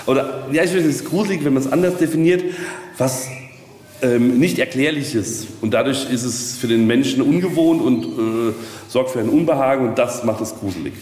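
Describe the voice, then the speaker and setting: A middle-aged man with a mid-pitched voice gives informal, rambling explanations like a local guide. His sentences circle around before they land. The room is strongly echoey, with a little ambient noise. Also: normal speed, a little mellow. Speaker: castle representative and guide. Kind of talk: casual explanatory interview talk. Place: reverberant space at a castle.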